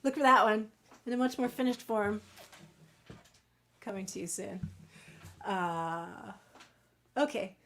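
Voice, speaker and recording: A woman talking casually at home between songs of a live-streamed concert, softly and rambling, with many fillers, her voice going hoarse. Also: mid-range voice, takes it slowly, pauses often, a little hiss or hum. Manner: soft, rambling and self-deprecating, with many fillers. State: losing her voice. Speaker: singer-songwriter performing a home concert. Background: guitar.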